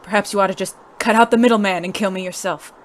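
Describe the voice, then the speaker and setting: A woman's voice: mid-range voice, steady tone, fast, slight background noise, close to an everyday voice. A woman playing a cool, secretive spy who lives as a man in a noir audio drama, formal and wry. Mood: sardonic, challenging.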